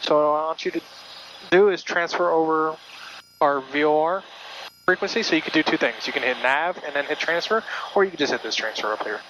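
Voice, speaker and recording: A man's voice: on the high side, fairly quick. A man working as a flight instructor, patiently and casually explaining things step by step over a cockpit headset. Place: small aircraft cockpit in flight. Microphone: aviation headset intercom. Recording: muffled intercom audio with clear cabin noise.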